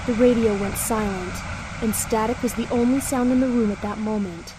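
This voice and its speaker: A woman's voice: on the high side, steady tone, moderate pace, background noise. A woman reading scripted story narration for an audio drama.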